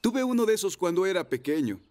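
An earnest cartoon motorcycle dad. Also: male voice, high voice, big pitch swings, fast talker, a little mellow. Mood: earnest, unsure. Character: kindly adult male rescue motorcycle, a father. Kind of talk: Spanish-language cartoon dub acting. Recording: cartoon music under the voice.

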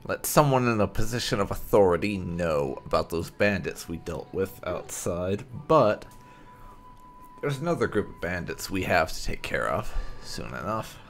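A man's voice: deep voice, sing-song, moderate pace. A man hosting a gaming channel, giving casual, rambling let's play commentary as he thinks aloud. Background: faint game music.

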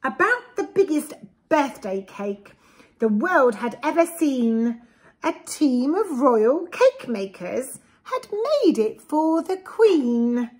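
A woman in her forties with an English accent tells a story to preschoolers. Her voice is on the high side and her pace is very slow. She is expressive and deliberate, as if making sure small listeners follow each part. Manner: expressive, deliberate, with simple explanatory asides. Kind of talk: reading a rhyming picture book aloud to young children. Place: small indoor room with bookshelves. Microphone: camera a short distance away.

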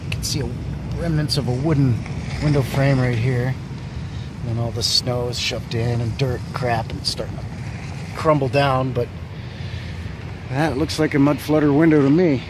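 A man's voice: medium pitch, steady tone, moderate pace, pauses often. A man walking outdoors with a handheld phone camera on a windy winter street, thinking aloud about an old building with many fillers and unsure asides. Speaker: vlogger exploring old buildings. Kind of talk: casual walking narration. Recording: wind and street noise behind.

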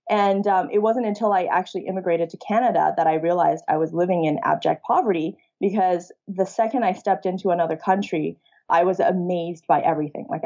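A woman's voice: on the low side, warm tone, lo-fi sound. A woman in her thirties, raised in China, talking openly about her early life as an interview guest. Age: 30s. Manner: open, conversational storytelling. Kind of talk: casual interview talk.

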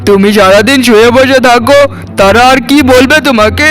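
A man's voice: very high voice, normal speed, a bit bright. A man playing a callous, overbearing young husband in a cartoon, stern and threatening. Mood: scolding. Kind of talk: cartoon voice acting.

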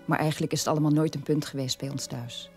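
An actress playing a sober middle-aged daughter of a war criminal, telling her past in a measured radio-play monologue with a husky voice. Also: deep voice, fairly even intonation, darkish tone, faint music behind, lightly acted. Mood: sober, reflective.

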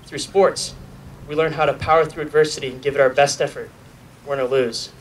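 A man's voice: fairly high pitch, steady tone, fairly quick. A young man, just graduating, gives the student speech at an outdoor podium, earnest with light jokes. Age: young adult. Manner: earnest, lightly joking, reads from notes. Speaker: student speaker. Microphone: podium mic filmed from a far camera. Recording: distant outdoor recording with noise.

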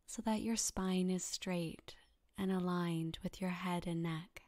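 A woman softly guiding a meditation in a breathy, half-whispered voice, calm and soothing, with gentle instructions and long pauses. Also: on the low side. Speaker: yoga nidra meditation guide. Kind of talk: guided meditation narration.

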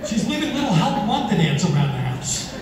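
A man in his sixties doing a stand-up comedy routine on a theater stage, with a deadpan, self-mocking delivery. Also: sits high, moderate pace, noisy background. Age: 60s. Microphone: handheld mic, filmed from far in the audience. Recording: echoey hall with crowd, from far off. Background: audience laughter and applause.

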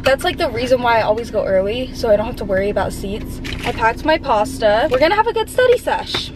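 A woman's voice: fairly high pitch, expressive, fast talker, loud music behind the voice, low-quality recording. A young woman, a college freshman, chatting casually to her phone camera from a parked car, filling her talk with "like". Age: young adult. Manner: chatty, lots of "like", upbeat. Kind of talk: casual vlog narration to camera.

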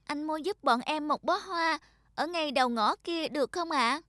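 A woman voicing a small, earnest child in an animated moral story, polite and pleading. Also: sits high, moderate pace. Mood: shy, pleading. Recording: music behind the dialogue.

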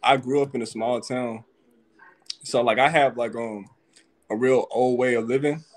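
A man's voice: mid-range voice, steady tone, moderate pace. A man in his twenties from Texas, talking in a slangy, laid-back way on a live video call. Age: 20s. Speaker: caller. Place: small indoor room. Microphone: video call, phone or laptop.

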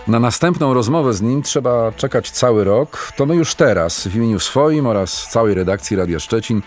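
An actor playing a polite, hesitant host of a live radio phone-in in a radio play. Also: male voice, mid-range voice, animated, normal speed, soft background music, close to an everyday voice. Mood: bemused, polite. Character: polite, amazed radio call-in show host.

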